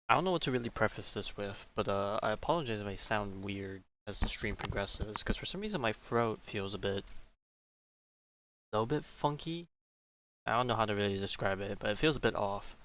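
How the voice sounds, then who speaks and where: A man's voice: fairly low pitch, moderate pace, long pauses, fairly bright tone, muffled recording. A man doing casual livestream gaming commentary, thinking aloud in a hesitant, rambling way. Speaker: game streamer. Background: game music.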